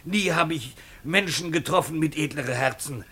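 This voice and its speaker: A courteous animal showman who has lost nearly everything. His voice is fairly high, sharp and raspy. He implores in florid, deferential radio play style. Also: moderate pace. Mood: pleading, courteous. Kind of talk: German radio play acting.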